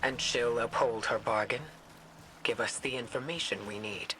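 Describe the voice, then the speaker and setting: An actor playing a cautious, firm military leader in a video game, measured, serious and watchful. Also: male voice, mid-range voice, steady tone, sharp tone, a little hiss or hum, close to an everyday voice, poor audio. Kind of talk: scripted video game voice acting.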